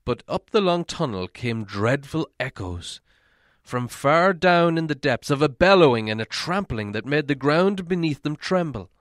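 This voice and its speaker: A man reading a fantasy story aloud as scripted narration, in a theatrical, deliberate manner. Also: on the high side, expressive, fairly bright tone, no room sound, studio quality. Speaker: audiobook reader.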